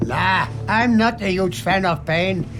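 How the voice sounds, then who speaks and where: An actor playing a weary, idealistic and defiant inventor in a video game cutscene, with a measured, wistful manner. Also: male voice, sits high, music in the background. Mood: calm defiance.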